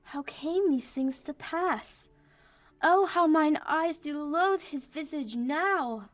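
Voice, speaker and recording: A woman's voice: high-pitched, slow pace, no room sound. A young woman playing a regal, doting fairy queen, reciting lyrical Shakespearean verse in a radio play. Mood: dismayed. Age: teens or young adult.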